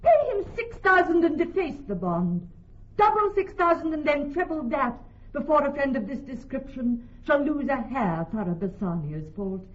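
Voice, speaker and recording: A woman's voice: high-pitched, sing-song, normal speed. An actress playing a devoted, decisive young noblewoman in a classical radio drama, speaking formal verse. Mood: urgent, generous. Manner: formal, eloquent verse delivery. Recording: tinny, band-limited vintage radio, music behind.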